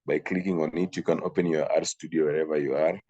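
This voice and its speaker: A man from Kenya teaches a class over a video call. His voice sits very low. He talks haltingly, with fillers and repeated words. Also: fairly even intonation, dark tone, slightly rough, muffled recording. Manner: hesitant, repeats himself, frequent fillers. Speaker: instructor. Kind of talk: online training session.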